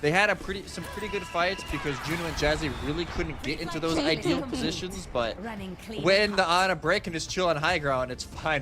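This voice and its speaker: A man giving live analytical commentary on an esports match. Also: fairly high pitch.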